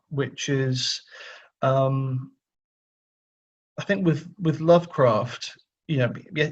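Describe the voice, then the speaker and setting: Male interview guest, writer type: chatty, self-correcting, husky edge, conversational delivery, slightly muffled lo-fi sound. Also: medium pitch. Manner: chatty, thinking aloud with frequent self-corrections. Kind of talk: casual conversational interview answers.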